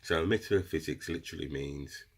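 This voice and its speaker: A man's voice: a bass voice, moderate pace, slightly rough. A man with a cold and a runny nose, chatting to viewers as he explains a meditation practice in a casual teaching style. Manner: chatty, addresses viewers as guys. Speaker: crystal seller explaining meditation. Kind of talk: casual instructional video talk.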